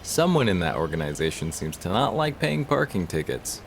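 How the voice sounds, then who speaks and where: Deep-voiced determined investigator. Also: male voice, big pitch swings, moderate pace, creaky, slight background noise, exaggerated voice. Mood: focused. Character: shrewd, determined male police investigator. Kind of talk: audio drama dialogue.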